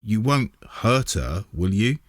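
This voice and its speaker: A man's voice: fairly low pitch, sing-song, slow pace, dry recording, studio quality, full character voice. A man voicing an eager, questioning, slightly anxious young boy in a storybook. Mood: puzzled, uneasy.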